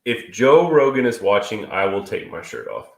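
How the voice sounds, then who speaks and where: A man's voice: on the low side, very animated, moderate pace, gravelly. A man in his thirties co-hosting a live stream in casual, crude, joking chat, stuffy and sniffly from a cold. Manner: crude, joking, swears freely. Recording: echoey room.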